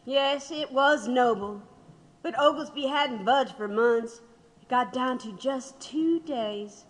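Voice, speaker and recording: An actress plays a condemned man's wife looking back in an audio drama. Her voice sits somewhat high, measured and full of regret and bitterness. A hollow reverb trails her words. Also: faint music behind. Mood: bitter, reflective. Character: grieving wife of a condemned man, bitter and reflective.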